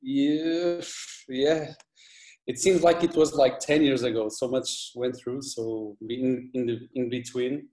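A man from Portugal with a Portuguese accent, a guest video editor who talks in a halting way, full of restarts and fillers. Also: medium pitch, fairly even intonation, warm tone, reverberant. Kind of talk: casual interview chat.

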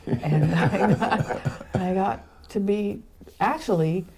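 A middle-aged woman born in Argentina and raised in Los Angeles, recalling her past with animated, laughing storytelling. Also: mid-range voice, slow pace, crowd noise. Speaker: interview guest, photographer. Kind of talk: casual storytelling.

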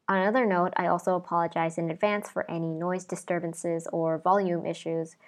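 A woman in her twenties hosting a podcast, chatting casually and explaining at length. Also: fairly low pitch. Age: 20s. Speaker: podcast host.

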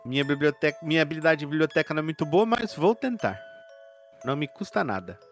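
A man's voice: fairly high pitch, lively intonation. Brazilian guy playing a horror rpg, kinda stumbling and jokey as he says what his character does, music in back. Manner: joking, halting. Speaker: player in a tabletop horror RPG. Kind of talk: improvised tabletop roleplay, narrating his character's actions.

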